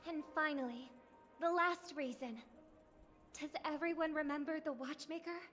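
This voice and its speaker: A woman's voice: high voice, steady tone, normal speed, fairly bright tone. An actress voicing a gracious young woman delivering a formal festival speech in a poised, ceremonial manner. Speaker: festival speaker. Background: game music.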